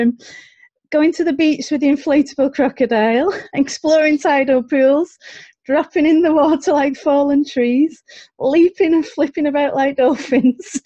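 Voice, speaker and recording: A woman's voice: high voice, warm tone, dull audio. A woman hosting a podcast interview, friendly and laughing often as she asks questions. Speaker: podcast host. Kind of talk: casual interview chat.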